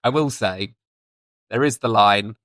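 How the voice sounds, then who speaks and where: A man with a fairly low voice talks casually as a podcast co-host. He is enthusiastic and often halting as he thinks his way through a review. The sound is dry, with hardly any room on it. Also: a bit bright, no room sound. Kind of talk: casual chat reviewing audio drama.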